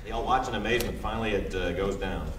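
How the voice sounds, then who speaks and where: A man's voice: fairly low pitch, fast talker, fairly bright tone. A man reading stage directions at a script table read, often stumbling and breaking into laughter. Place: small indoor room around a table. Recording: echoey, distant, noisy room recording.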